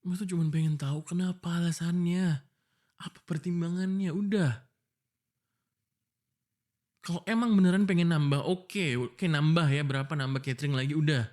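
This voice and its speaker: A man's voice: on the high side, steady tone, moderate pace, pauses often, darkish tone. A young man with an Indonesian accent, voicing a frustrated, pleading boyfriend in an ASMR roleplay.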